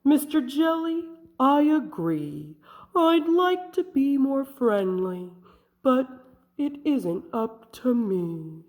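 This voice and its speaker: A woman voicing a glum, gloomy male fish in a storybook read-aloud, in a slow, mopey sing-song drawl. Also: sits high, sing-song, darkish tone, voice pushed up, full character voice.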